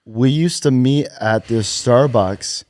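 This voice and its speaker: Young guy hosting a podcast, laughs a lot, mid pitch, dead dry mic. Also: takes it slowly, darkish tone, no room sound. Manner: laughs often, stumbles and restarts lightly. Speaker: podcast host. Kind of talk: casual chat.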